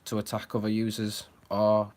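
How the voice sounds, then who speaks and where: A man's voice: fairly low pitch, completely flat delivery, normal speed, darkish tone. A man hosting his own channel, giving unscripted, earnest commentary full of fillers, restarts and hesitations. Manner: earnest, concerned. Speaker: channel host.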